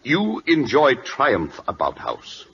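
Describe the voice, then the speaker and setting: A proud and earnest minister, speaks with forceful, very animated intonation. His English is formal pidgin, on a muffled vintage radio drama recording. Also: mid-range voice, slow pace, a little hiss or hum, exaggerated voice. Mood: proud pleading. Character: earnest, proud Siamese prime minister, loyal man. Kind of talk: 1940s radio drama acting. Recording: dull old radio recording.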